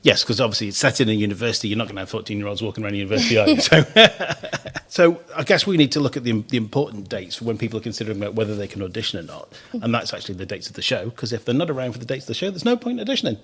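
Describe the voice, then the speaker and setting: A man's voice: on the low side, big pitch swings, racing, fairly bright tone, husky. A man hosting a casual theatre podcast interview, chatty and encouraging, laughing easily. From Isle of Man. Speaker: podcast host.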